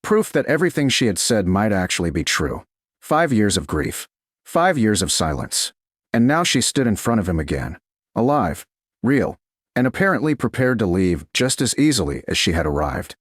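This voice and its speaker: A man's voice: fairly low pitch, big pitch swings, normal speed, breathy edge, dry recording, clean studio sound. A man reading dramatic scripted romance narration as an audiobook narrator.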